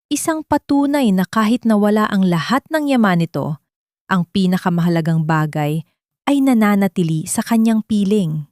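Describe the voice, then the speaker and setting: A woman's voice: medium pitch, darkish tone, no room sound, clean studio sound. A woman narrator reading a scripted audio drama in a dramatic storytelling style.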